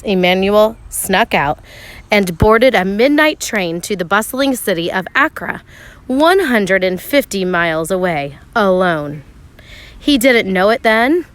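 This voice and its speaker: Lady reading a kids picture book out loud, really lively pitch, pauses for drama. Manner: measured storytelling with dramatic pauses and emphasis. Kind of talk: expressive storybook read-aloud narration for children.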